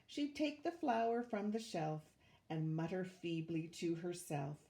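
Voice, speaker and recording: An older woman reading a rhyming children's picture book aloud with measured, expressive storytelling. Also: lowish voice, creaky. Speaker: volunteer storybook reader, retired teacher.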